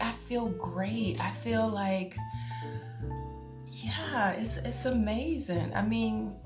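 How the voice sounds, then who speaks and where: Chatty woman nearing fifty, lower voice. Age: 40s. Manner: chatty, laughs often, drawn-out exclamations. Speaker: vlogger. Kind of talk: casual talk to camera. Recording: echoey room with music behind.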